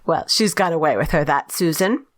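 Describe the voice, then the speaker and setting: A woman's voice: deep voice, normal speed. A woman playing a gossipy, plain-spoken housekeeper in an audio drama. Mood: chatty, admiring.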